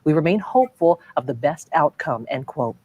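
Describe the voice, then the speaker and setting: A woman reading scripted television news in a formal, measured anchor style. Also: sits low, lively intonation, a little mellow, slight background noise. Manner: formal, measured newsreader delivery.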